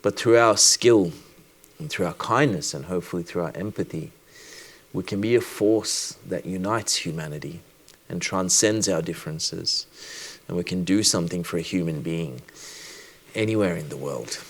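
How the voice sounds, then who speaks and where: A man from Sri Lanka who works as a doctor, giving a personal conference talk in a reflective, measured way with deliberate pauses. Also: deep voice.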